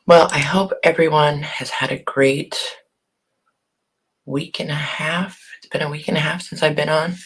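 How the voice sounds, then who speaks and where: A hoarse woman who has partly lost her voice, chatting casually on a live stream and greeting viewers by name. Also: deep voice, fairly even intonation, unhurried, rough recording. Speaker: online shop owner hosting a live video.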